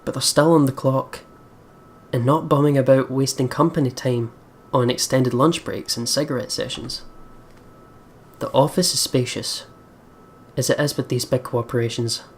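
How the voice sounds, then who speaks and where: A woman hosting a let's play, reading visual novel narration and reacting in casual, expressive, sweary commentary. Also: rumbling low, moderate pace, slight background noise.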